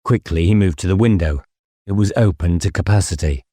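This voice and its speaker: Low, dark-toned male audiobook narrator. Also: a little fast, dry recording, clean studio sound. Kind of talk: dramatic scripted novel narration.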